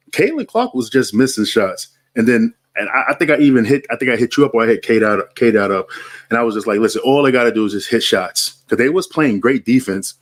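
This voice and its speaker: A man hosts a basketball podcast, speaking in a relaxed, slangy way. His voice sits a little low, and he fills his talk with ums and likes. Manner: laid-back, slangy, many fillers. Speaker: podcast host. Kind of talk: casual sports podcast chat.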